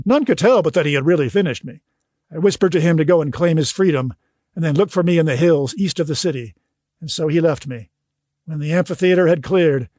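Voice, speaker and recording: A man reading scripted audiobook narration in a measured, literary storytelling manner. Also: fairly high pitch, a little mellow, no room sound, clean studio sound.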